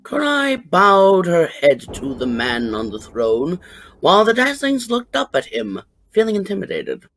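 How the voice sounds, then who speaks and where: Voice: medium pitch, huge pitch swings, measured pace, a bit bright, soft background music, poor audio. A narrator reading a scripted adventure story.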